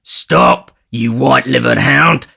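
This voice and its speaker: A man's voice: mid-range voice, slow, vocal fry, slightly rough, voice pushed up, exaggerated voice. Man doing a ferocious growling robber. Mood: furious. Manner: growling, muttering through teeth, shouting. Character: ferocious, desperate male robber, growling villain. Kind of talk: audiobook character voice.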